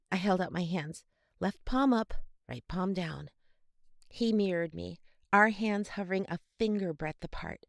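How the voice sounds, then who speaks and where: A woman giving an expressive, dramatic first-person audiobook narration of young adult fantasy. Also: on the low side, gravelly, no room sound, clean studio sound.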